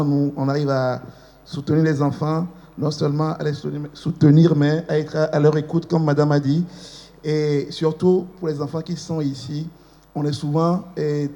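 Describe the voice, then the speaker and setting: A man speaking formally as a parent and head of an association, halting as he addresses the children. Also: on the high side, dark tone, muffled recording.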